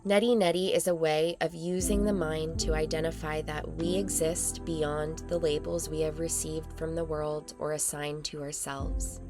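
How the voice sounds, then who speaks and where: A woman narrating a guided meditation in a calm, soothing, measured way with long pauses. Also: sits low. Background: meditation music.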